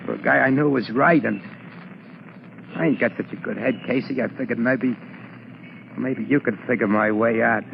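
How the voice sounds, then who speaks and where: On a dull, slightly noisy 1940s radio broadcast, an actor plays a fearful male ex-convict turned shopkeeper. His voice is gravelly and he talks in hesitant, slangy, unschooled tough-guy phrases. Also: medium pitch, normal speed, pauses often, lightly acted. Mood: pleading. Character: worried, fearful male ex-convict safecracker turned candy-store keeper. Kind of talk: 1940s radio drama acting.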